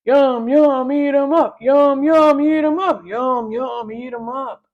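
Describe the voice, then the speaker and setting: A woman voicing a horde of hungry, evil talking toilets from a children's storybook, chanting loudly in rhythm. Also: high-pitched, takes it slowly, phone-quality sound, pitched above the performer's own voice. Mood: greedy chanting.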